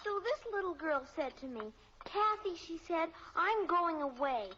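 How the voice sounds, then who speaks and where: A woman's voice: very high voice, expressive, measured pace, bright, forceful tone, heavily acted. An actress playing a chatty, insistent little girl who rambles through her stories in a radio sitcom. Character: chatty little girl, youngest daughter, undeterred. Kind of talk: 1950s radio sitcom acting. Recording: dull old radio recording, faint noise.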